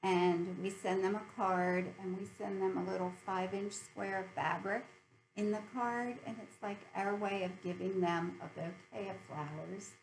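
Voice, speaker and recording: A woman's voice: mid-range voice, steady tone, normal speed, vocal fry, slight background noise, rough recording. A middle-aged American woman chatting casually and cheerfully to viewers as host of a hobby video channel.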